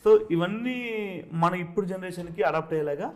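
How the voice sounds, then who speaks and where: A man's voice: high voice, a little fast, a bit bright. A man interviewed as a film director, explaining his views earnestly and philosophically with some English mixed in.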